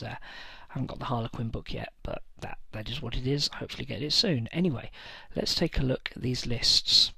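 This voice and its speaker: A man talks casually to his viewers about building army lists, pausing often to gather his thoughts. His voice has a gravelly fry, yet a bright, forceful edge. The recording is close and dry, with no room sound around him. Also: medium pitch, no echo. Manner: hesitant, many fillers and pauses. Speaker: tabletop wargaming channel host. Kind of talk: casual list-building talk to camera.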